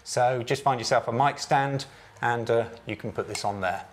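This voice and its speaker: A man in his forties giving an informal, conversational product demonstration, explaining clearly as a presenter. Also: mid-range voice, moderate pace, a little hiss or hum. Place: office meeting room, indoors. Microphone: lapel.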